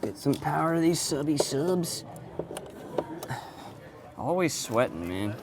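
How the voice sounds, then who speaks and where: A man talks casually while setting up sound gear at an event venue. His running commentary is half muttered to himself, with exclamations. Clear background noise and clatter sit behind his voice. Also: fairly high pitch, very animated, slow. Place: event venue during setup. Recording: noisy on-location vlog audio with clatter.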